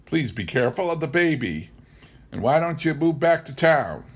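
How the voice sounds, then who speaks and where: Man as a fretful, high-pitched old granny. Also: big pitch swings, moderate pace, slightly rough. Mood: anxious warning. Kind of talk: audiobook character voice.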